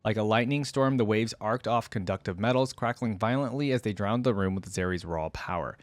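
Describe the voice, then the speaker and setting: A man's voice: fairly low pitch, brisk, no room sound. A man reacting as he reads a story aloud, emphatic in delivery, dropping in casual commentary. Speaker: host of a reaction channel.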